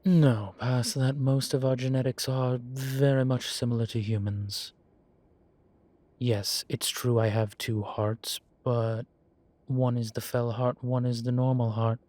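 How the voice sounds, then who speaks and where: A man playing a composed, teasing demon professor in a roleplay audio, speaking in a formal, measured and wry way. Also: medium pitch, little pitch movement, a little mellow, dry recording, natural voice. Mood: fond reminiscing. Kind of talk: scripted roleplay audio, one side of a conversation.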